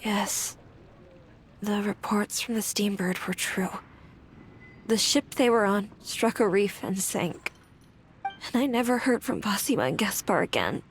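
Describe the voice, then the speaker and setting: A woman's voice: medium pitch, expressive, measured pace, pauses often, faint music behind. An actress playing a wistful, sorrowful young woman who clings to comfort, earnest and subdued, in a dramatic video game scene. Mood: grieving.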